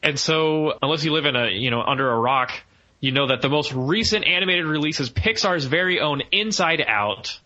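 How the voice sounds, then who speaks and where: A man's voice: a little high, expressive, normal speed, pushed and bright, lo-fi sound. A man hosting a podcast in an upbeat, jokey, enthusiastic way, mixing banter with review talk. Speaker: podcast host.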